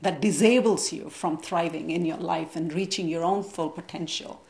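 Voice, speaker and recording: A woman from India, a guest on a TV interview, explaining her views in an articulate, measured way. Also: on the low side, fairly even intonation, vocal fry, darkish tone, roomy sound. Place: TV studio.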